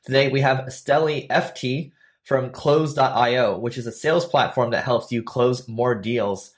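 Male podcast host, mid-pitched, relaxed interviewer. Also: moderate pace, breathy edge. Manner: conversational, with small restarts. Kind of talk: interview hosting and sponsor read.

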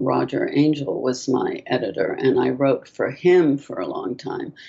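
An older woman whose first language is French, answering interview questions softly and hesitantly over a video call. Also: rumbling low, creaky, slightly rough, muffled recording. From France. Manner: soft-spoken, hesitant, many ums. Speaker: author, guest.

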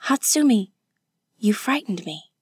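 A woman acts out an earnest, romantic young woman in a storybook novel. Her voice is lifted and soft, gently pleading, in a dry, close recording. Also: on the high side, expressive, a little slow, slightly rough, no room sound, pitched above the performer's own voice. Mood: startled, defensive.

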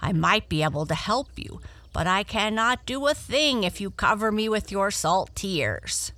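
Lady doing a fussy boy frog from a kids story, bossy n grumbly, voice swings all over, bright. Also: mid-range voice, very animated, unhurried, dry recording. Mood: coaxing. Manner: formal, complaining, bossy. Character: talking male frog, fussy, grumbling, excitable. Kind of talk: children's storybook character voice.

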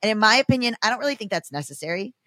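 A woman's voice: mid-range voice, animated, racing, bright, forceful tone, dry recording. A woman reading aloud as a self-important man texting blunt dating feedback, in an earnest, condescending dramatic reading for a comedy podcast.

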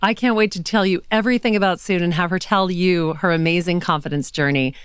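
A woman hosting an interview show, talking with enthusiasm and warmth toward her guest. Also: lowish voice, a little fast, clean tone, dry recording. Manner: enthusiastic, effusive. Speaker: podcast host and auctioneer. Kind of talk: interview conversation. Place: studio.

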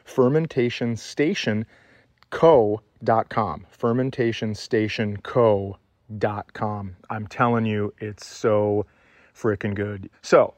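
A man's voice: on the low side, steady tone, slow, no echo. A man hosting a podcast in a casual comedic chat style, with an effusive, teasing, plain-spoken manner.

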